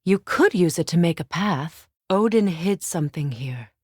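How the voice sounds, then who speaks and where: A woman voicing a resolute, battle-worn sorceress and protective mother in a video game, with a measured, earnest and commanding delivery. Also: lowish voice, animated, a little mellow, slightly rough, no room sound, clean studio sound. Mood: watchful, questioning. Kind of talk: dramatic video game voice acting.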